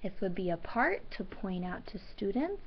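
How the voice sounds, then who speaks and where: A woman teacher reads a children's storybook aloud and explains it. Her phrasing is deliberate and emphatic with pauses, at a medium pitch. The recording sounds a little dull. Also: sing-song, a little hiss or hum.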